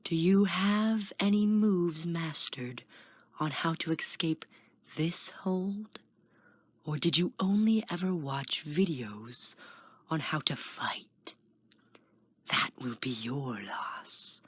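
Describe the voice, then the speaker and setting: A man reading a story as a menacing, snakelike skinny prisoner in a smooth whisper. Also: fairly high pitch, slow, no room sound, voice pushed up. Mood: quiet menace.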